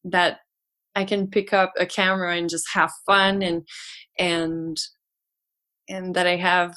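A woman's voice: lowish voice, fairly bright tone. A woman, a singer, talking casually as a podcast guest, with many restarts. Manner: hesitant, many restarts and laughs. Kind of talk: casual conversation.

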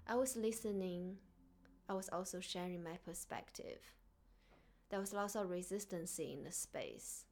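A woman from China with a Chinese accent, speaking earnestly and softly as the host of a reflective solo podcast. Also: lowish voice, measured pace.

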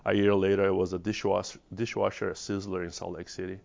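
Middle-aged man with a Brazilian accent, gravelly low voice, halting presenter, dull lo-fi recording. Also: level tone, a little mellow. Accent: Brazilian Portuguese. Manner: halting, many restarts and repeated words. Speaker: regional director of mental health programs. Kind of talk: presentation to an audience. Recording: dull lo-fi sound.

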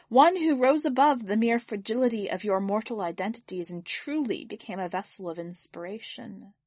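Calm, formal giant owl-like alien envoy, pitched a bit high, read by a woman storyteller. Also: no room sound. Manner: formal, measured, unflinching.

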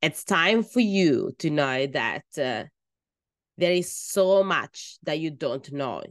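A woman in her thirties with an Italian accent, a midwife telling her own story on a podcast in an earnest, softly emphatic way, pausing between phrases. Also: sits low, expressive, no room sound, studio quality.

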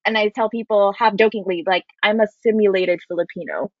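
A woman in her late twenties, raised in Kuwait, telling stories in a casual chat, animated and full of restarts. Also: medium pitch, fairly quick, highs cut off. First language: Tagalog. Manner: animated storytelling with many likes and restarts. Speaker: podcast guest.

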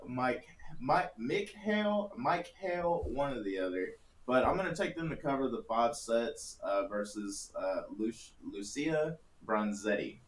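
A man's voice: on the high side, a bit bright. A young man co-hosting a casual sports-betting podcast, laughing often and poking fun at himself. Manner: laughing, self-deprecating. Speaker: podcast co-host. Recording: roomy echo.

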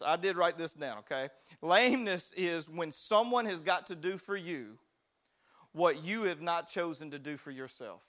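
Pastor, preaching chatty, high bright voice, calls out to the crowd. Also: male voice. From United States. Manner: conversational preaching, asks the crowd for responses. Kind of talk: sermon.

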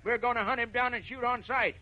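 An actor playing a blunt, accusing, hard-headed sheep rancher in a 1950s radio drama. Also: male voice, very high-pitched, fairly even intonation, fast. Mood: hostile, determined. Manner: blunt, sneering, confrontational. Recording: muffled, noisy old radio recording with crowd.

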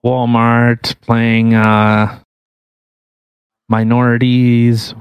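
A man with an average pitch co-hosts a casual podcast. He breaks in briefly, apologizing when he cuts someone off. The sound is dry and close. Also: completely flat delivery, very slow, clean tone, no room sound. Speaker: podcast co-host. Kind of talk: casual opinion chat.

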